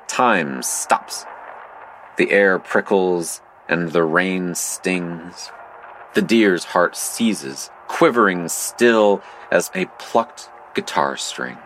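Very deep, slow, ominous game guide. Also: male voice, a bit bright, a little hiss or hum, rough recording. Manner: deliberate, grave, poetic. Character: solemn, ominous male game-master guide called the Dictator. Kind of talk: poetic second-person narration in surreal audio drama.

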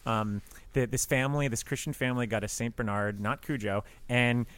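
Guy on a film podcast, mid-pitched voice, rambles and stops and starts. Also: fairly bright tone. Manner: halting, rambling. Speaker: podcast co-host. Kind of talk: casual film discussion.

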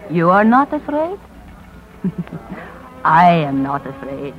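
A woman's voice: lowish voice, big pitch swings, over loud music. Humble older immigrant mother, dull recording. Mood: proud, resolute. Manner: halting, plain, broken English. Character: humble, brave older immigrant mother, grieving but resolute. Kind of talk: 1950s radio drama acting. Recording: dull, faintly noisy vintage radio recording.

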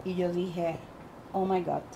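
A woman with a Puerto Rican accent, a guest medium telling her story in an animated interview. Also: lowish voice, slow pace, creaky, background noise. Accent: Puerto Rican Spanish. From Puerto Rico.

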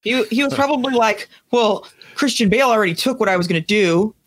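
A woman's voice: a little high, animated, brisk, no room sound. A woman co-hosting a casual pop culture chat in an easygoing, conversational way. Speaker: podcast co-host.

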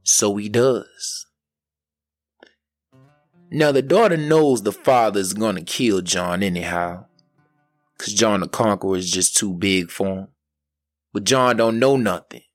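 A man narrating an old folk tale as a storyteller, in a measured way with dramatic pauses. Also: on the low side, no echo, soft background music. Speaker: storyteller, podcast host.

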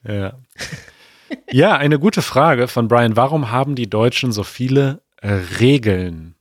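A man co-hosting a podcast in relaxed casual chat, often hesitating and searching for words. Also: medium pitch, slow, no room sound, studio quality. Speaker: podcast co-host.

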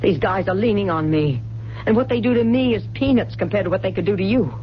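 A woman's voice: mid-range voice, fast, close to an everyday voice. An actress playing a blunt, streetwise female criminal accomplice in a radio mystery drama, with a hard-boiled, sardonic delivery. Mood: urgent, wary. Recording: muffled, hissy 1970s radio broadcast recording.

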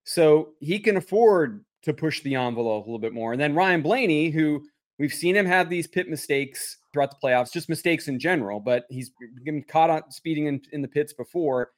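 A man's voice: fairly high pitch, moderate pace. A man co-hosting a conversational sports discussion and setting up questions for analysis. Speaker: podcast co-host.

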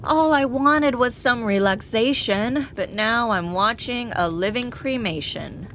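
A woman's voice: fairly high pitch, measured pace, fairly bright tone, slight background noise. An actress playing an exasperated woman who scolds in rhyme in a comic audio drama. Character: exasperated woman wanting a quiet Christmas.

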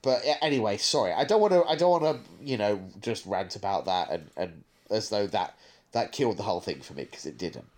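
A man's voice: on the low side, expressive, brisk. A man co-hosting a casual podcast discussion, giving opinions with frequent stammering restarts. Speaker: podcast co-host.

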